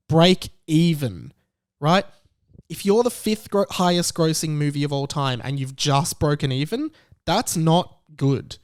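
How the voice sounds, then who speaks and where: Male podcast host, voice a little on the high side, conversational commentary, emphatic and sure of his opinions. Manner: opinionated, emphatic, self-assured.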